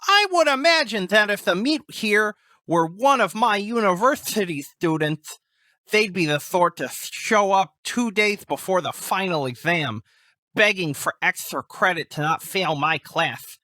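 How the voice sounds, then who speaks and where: A woman's voice: mid-range voice, animated, a little slow, sharp tone, no echo. A woman voicing a fussy, nerdy botanist doctoral student in a video game, with a bookish, wordy and slightly stammering way of talking. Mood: dry, condescending. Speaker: quest-giving game character. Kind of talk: scripted video game dialogue.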